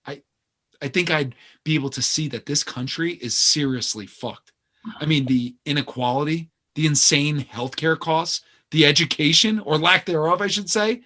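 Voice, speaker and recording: Male actor as guilty privileged writer, crisp bright edge, mid-pitched, hesitant, polite, naturalistic play dialogue. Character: earnest, self-deprecating male aspiring novelist, privileged and guilty.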